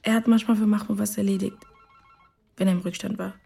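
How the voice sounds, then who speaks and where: An actress playing a worried, guarded woman under police questioning in a radio drama, with a husky voice. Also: medium pitch, little pitch movement, a little fast, mellow, faint music behind. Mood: guarded, upset.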